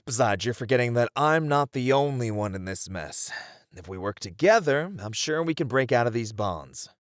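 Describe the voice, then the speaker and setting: A man's voice: medium pitch, lively intonation, normal speed, no room sound, studio quality, natural voice. A man playing a flirty, confident ninja in an ASMR roleplay, with a teasing, reassuring manner. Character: flirty, confident male ninja, playful and unflappable. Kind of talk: ASMR roleplay audio drama acting.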